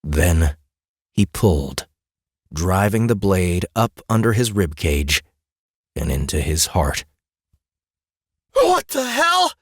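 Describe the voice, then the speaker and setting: Deep-voiced man narrating fantasy. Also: husky, no echo, clean studio sound. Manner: deliberate dramatic phrasing with pauses. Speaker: audiobook narrator. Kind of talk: dramatic scripted fantasy narration. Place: dry recording studio.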